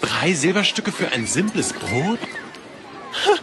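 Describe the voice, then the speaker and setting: A man's voice: fairly high pitch, very animated, takes it slowly, over music. A man playing a hot-tempered, mocking young swordsman in a fantasy audio drama. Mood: outraged.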